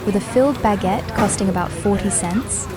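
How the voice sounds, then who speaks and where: Young woman, mid-pitched, calm scripted travel voice-over, clear music underneath. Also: fairly quick. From Vietnam. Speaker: vlogger. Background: background music.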